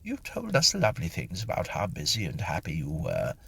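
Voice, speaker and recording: A man's voice: sits low, wildly swooping pitch, a little fast, gravelly, a bit bright, slightly rough, exaggerated voice. A man voicing a gushing, wheedling female spirit medium with an effusive, coaxing manner in a dramatised audiobook story.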